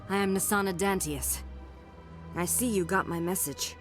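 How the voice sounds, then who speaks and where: An actress playing a wealthy, anxious diplomatic emissary in a video game, speaking in a composed, formal, measured way. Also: female voice, medium pitch, soft background music, natural voice. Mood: grave, controlled. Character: wealthy, anxious alien diplomatic emissary pleading for her sister. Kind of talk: video game dialogue acting.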